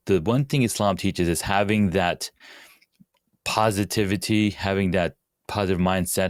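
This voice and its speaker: A man from Pakistan with a very low, smooth, resonant voice, speaking in a measured, earnest way as a podcast guest. The sound is dry and close, with little room in it. He talks in short phrases that restart now and then. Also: no room sound. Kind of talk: casual interview answers.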